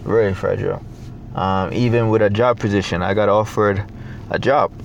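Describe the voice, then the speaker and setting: A young adult man from Jamaica with a Jamaican accent, talking in a reflective, halting way with many fillers as a guest in a casual interview. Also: fairly low pitch, steady tone, creaky, a little hiss or hum.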